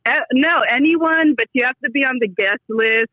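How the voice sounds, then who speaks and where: A woman's voice: high-pitched, moderate pace, no room sound. A woman calling in to a comedy podcast, chatting casually in a rambling, joking way over muffled call-in audio. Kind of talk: casual chat. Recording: dull, band-limited call-in audio.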